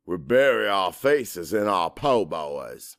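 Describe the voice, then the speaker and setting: A man's voice: sits low, big pitch swings, takes it slowly, a bit bright, no echo, studio quality, voice pushed down, heavily acted. A man playing a middle-aged Cajun father, anxious and guarded, narrating his own story in a brooding, conversational audiobook style. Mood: brooding, wry. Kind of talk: first-person dramatic audiobook narration.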